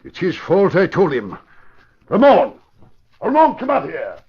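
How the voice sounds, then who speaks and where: A man's voice: sits high, wildly swooping pitch, a little slow, darkish tone, husky, full character voice. A man acting a short-tempered, scheming crime boss in an old radio drama, curt and commanding. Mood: angry. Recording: muffled, hissy old radio recording.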